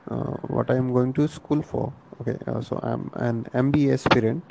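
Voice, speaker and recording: Young man from India, very gravelly voice, soft-spoken, video call with faint noise. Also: mid-range voice, fairly even intonation, poor audio. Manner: asks questions, explains slowly, soft-spoken. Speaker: MBA aspirant. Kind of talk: casual video chat.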